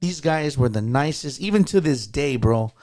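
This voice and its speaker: A man's voice: mid-range voice, lively intonation, moderate pace, darkish tone. A man telling stories casually as an interview guest, enthusiastic and emphatic. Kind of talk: casual storytelling chat.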